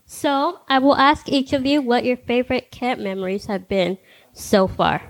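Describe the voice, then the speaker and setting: A young woman hosting a casual podcast chat, playful and teasing. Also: a little high. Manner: playful, teasing, quick reactions. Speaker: podcast host.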